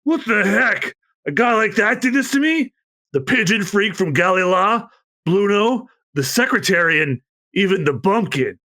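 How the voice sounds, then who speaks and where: A man voicing a brash male shipwright gang boss who rants loudly. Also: high-pitched, expressive, normal speed, pitched above the performer's own voice. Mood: indignant. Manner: loud, ranting.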